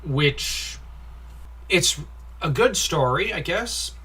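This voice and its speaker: Male comic reviewer, unhurried and bright-toned; informal, nitpicky commentary, prone to sighs. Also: fairly high pitch, animated, slightly rough. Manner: nitpicky, critical, sighing.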